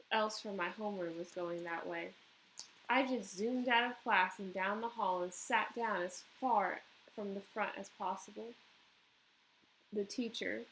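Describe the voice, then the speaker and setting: A woman teacher reads a children's novel aloud and adds simple commentary for pupils. Her pitch is mid-range, and there is clear noise in the background. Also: low-quality recording.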